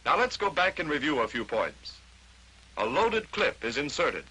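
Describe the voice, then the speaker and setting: A man's voice: on the high side, sing-song, normal speed, bright, forceful tone, breathy edge, poor audio. An American man narrating a 1940s instructional training film, explaining step by step in short declarative statements on a vintage film soundtrack.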